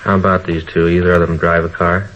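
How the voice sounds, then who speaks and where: A low-key police detective in a 1950s radio drama. His voice sits very low, and he questions in short, plainspoken phrases. It sounds like a vintage radio recording. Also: flat delivery, a little fast, fairly bright tone, dull audio. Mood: probing, low-key. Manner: laconic, probing.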